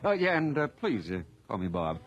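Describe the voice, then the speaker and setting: An actor playing a genial, evasive small-town police chief in a radio drama, with a hearty, folksy manner. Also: male voice, medium pitch, wildly swooping pitch, moderate pace, dull audio.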